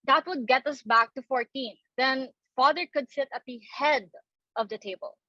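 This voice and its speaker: Girl playing a flustered earnest society daughter in a stage comedy, really high voice, pleading, bit muffled dry recording. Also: normal speed, sharp tone, no room sound. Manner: anxious, pleading, theatrical. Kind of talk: dramatic reading of a stage comedy.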